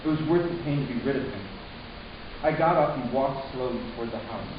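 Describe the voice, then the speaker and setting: A man playing a troubled, resentful human youth in a dramatic first-person story reading, halting and intense with deliberate pauses. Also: mid-range voice, animated, close to an everyday voice. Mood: bitter, agitated. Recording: distant, very echoey room, muffled, hissy.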